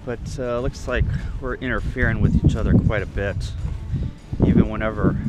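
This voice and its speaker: A man's voice: on the low side, fairly even intonation, normal speed, very noisy. A man talking casually in a matter-of-fact, offhand way, giving short video-log updates as an amateur radio operator.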